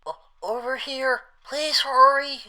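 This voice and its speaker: Small frightened talking rabbit played by a woman, high and bright, slow, timid, pleading delivery for radio drama. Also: steady tone, full character voice. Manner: hesitant, pleading, polite. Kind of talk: audio drama acting.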